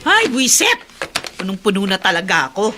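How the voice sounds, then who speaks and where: A woman's voice: mid-range voice, wildly swooping pitch, moderate pace, music in the background. A woman with a Filipino accent playing an irritable, spiteful aunt in a radio drama, complaining in exasperation. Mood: angry, exasperated.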